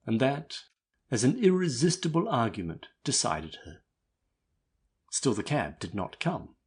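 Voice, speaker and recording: A man narrating a classic novel as a dramatic audiobook, with a husky voice. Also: medium pitch, very animated, a little slow, a little mellow.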